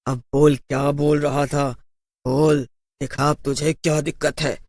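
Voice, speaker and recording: A man voicing a threatening ghost shopkeeper in a cartoon horror story, speaking slowly and tauntingly. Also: on the high side, level tone, a little mellow, no room sound, studio quality. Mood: taunting, angry. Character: menacing ghost shopkeeper of a haunted market.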